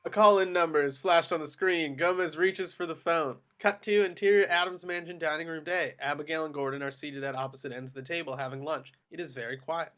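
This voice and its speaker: Slightly high-voiced man narrating a screenplay. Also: fairly even intonation, a little fast, few pauses, bright, forceful tone. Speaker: narrator reading action descriptions.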